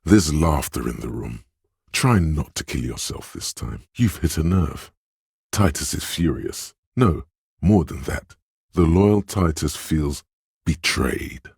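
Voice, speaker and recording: An actor voicing a sly inner voice in a video game, reading people and coaching in a measured, knowing, insinuating way with a gravelly, husky delivery. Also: male voice, extremely low pitch, animated, darkish tone, no echo, studio quality, full character voice. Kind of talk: scripted video game narration.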